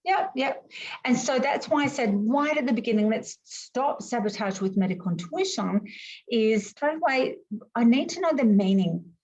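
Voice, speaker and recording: A woman whose first languages were Greek and Arabic, teaching an online class over a video call in a lively, emphatic way full of rhetorical questions. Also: a little high, normal speed, dull audio.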